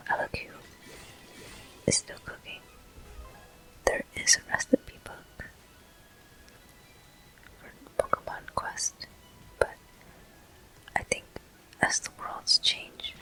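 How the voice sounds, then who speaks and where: A person whispering a video game walkthrough in ASMR style, with soft, breathy, slow and deliberate delivery in short phrases. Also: rough recording. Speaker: gameplay host.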